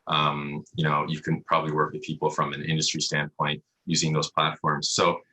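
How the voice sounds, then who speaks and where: Male interview guest, doctor: extremely low, slightly raspy voice, explanatory and conversational, on a video call. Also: monotone, normal speed, pushed and bright, rough recording. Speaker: emergency radiologist, interview guest. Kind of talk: casual interview answers. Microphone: video call microphone.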